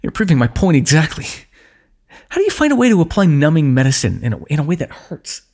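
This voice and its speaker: A man playing a weary, wry fantasy warrior in an ASMR roleplay, speaking in measured, formal phrases with dry teasing. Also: on the high side, sing-song, darkish tone. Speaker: ASMR voice actor. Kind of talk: ASMR fantasy roleplay, one-sided dialogue.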